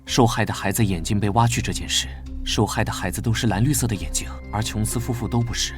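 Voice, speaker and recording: A man playing a gentle, weary, earnest young knight in an animated drama. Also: lowish voice, fast, music in the background, close to an everyday voice. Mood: questioning, serious. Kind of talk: Chinese animated drama voice acting.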